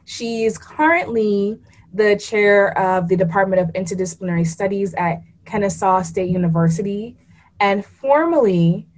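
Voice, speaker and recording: A woman in a mid-range voice hosts a relaxed podcast chat. She is playful and self-deprecating, and she often trips over her words as she introduces her guest. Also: medium pitch. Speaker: podcast host. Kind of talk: casual podcast interview.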